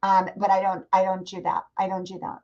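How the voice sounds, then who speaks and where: A woman in her sixties talks in a friendly, rambling way, circling back over her own words as she encourages her viewers. Her voice sits at an ordinary middle pitch. The stream audio is a little dull and lo-fi. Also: monotone, a little fast, darkish tone. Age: 60s. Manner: chatty, repeats herself, encouraging. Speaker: live stream host. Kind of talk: casual live stream chat with viewers.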